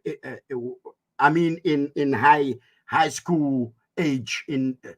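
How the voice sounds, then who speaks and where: A man with a Dutch accent talking in a casual panel discussion, halting with many restarts. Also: fairly high pitch. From Netherlands. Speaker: panel guest.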